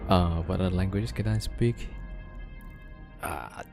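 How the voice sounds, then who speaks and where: Low, easygoing man who streams games and chats with viewers over steady game music. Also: a little slow, gravelly. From Indonesia. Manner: relaxed, chatty, answering viewer questions while playing. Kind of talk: casual gameplay chat with viewers.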